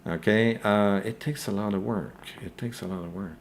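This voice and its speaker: A man's voice: lowish voice, moderate pace, slight background noise. A man with a French accent, a native French speaker, hosting a live crypto news stream. He answers viewer questions in a hesitant way, with many fillers and a repeated "okay?". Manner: hesitant, frequent "uh" and tag "okay?".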